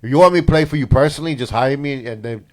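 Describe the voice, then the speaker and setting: Male co-host, DJ, easygoing storyteller, mid-pitched, chatty. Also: very fast. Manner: animated storyteller, gives shout-outs. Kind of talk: casual podcast chat.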